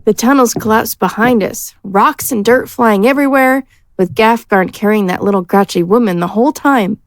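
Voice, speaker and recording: A woman's voice: fairly high pitch, moderate pace, no room sound, close to an everyday voice. A woman playing an eager, chatty adventurer in a fantasy audio drama, telling things breezily. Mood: excited. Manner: excited, breezy storytelling.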